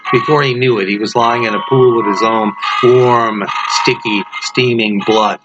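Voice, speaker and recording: A man reading a dark horror story aloud as its author, in a theatrical, dramatic delivery. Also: mid-range voice, fairly even intonation, normal speed, over loud music. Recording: noisy, dull lo-fi home recording.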